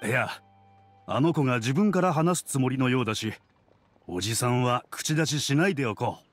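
A man plays a polite middle-aged shopkeeper in an anime game. His voice is of average pitch, with a considerate, avuncular manner and measured delivery. Faint music runs behind it. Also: lively intonation, close to an everyday voice. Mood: gentle.

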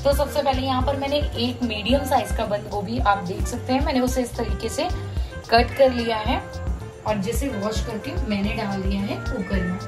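A woman's voice: on the high side, normal speed, music in the background. A woman narrating a home recipe step by step in a conversational, explanatory way. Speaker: home cooking vlogger and host.

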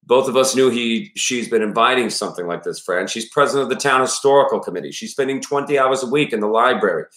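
A man's voice: mid-range voice, fast talker, hardly any pauses, fairly bright tone, natural voice. A man voicing a worried, plainspoken older father from a small town, with a Maine accent, in an audiobook-style reading. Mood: explaining, earnest. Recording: roomy echo around the voice.

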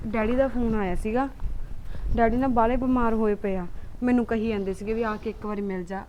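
A woman's voice: on the high side, steady tone, fairly quick, muffled recording, a little hiss or hum, lightly acted. A woman playing a pleading wife. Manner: pleading, persistent, earnest. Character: pleading young wife wanting to visit her parents. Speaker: daughter-in-law.

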